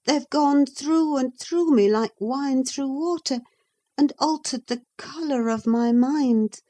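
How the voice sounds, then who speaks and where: A woman's voice: high-pitched, slow pace, mellow, pitched above the performer's own voice. A woman voicing a headstrong, impassioned young woman. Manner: impassioned, emphatic. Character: passionate headstrong young woman, dreamy and willful. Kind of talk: audiobook character reading.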